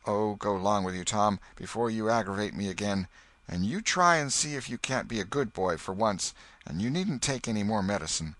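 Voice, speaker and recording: A man voicing a stern but soft-hearted old woman with sharp, old-fashioned country speech. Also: fairly low pitch, normal speed, dry recording, close to an everyday voice. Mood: gentle.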